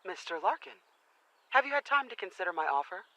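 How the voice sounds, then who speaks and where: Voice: medium pitch, sing-song, brisk, heavy background noise. A performer playing a persuasive, formal and mysterious power broker in an audio drama, speaking coaxingly.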